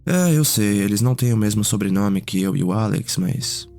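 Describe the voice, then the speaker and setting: A man playing a curious, reflective vampire noble in an ASMR roleplay, telling his story in an unhurried way with pauses. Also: lowish voice, expressive, a little mellow. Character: Cedric, curious, reflective male vampire noble, younger brother. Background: music.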